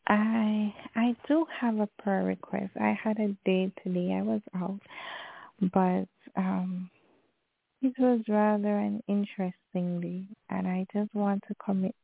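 Slow, gravelly Jamaican woman on a phone line. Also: medium pitch, fairly even intonation, dry recording. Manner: slow, halting, devout. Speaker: caller. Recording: dry tinny phone line.

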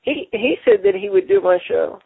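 A man hosting a casual radio show, talking haltingly and repeating words, with many fillers. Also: high-pitched, huge pitch swings, creaky, husky, dry recording. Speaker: show host. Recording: tinny phone-line sound.

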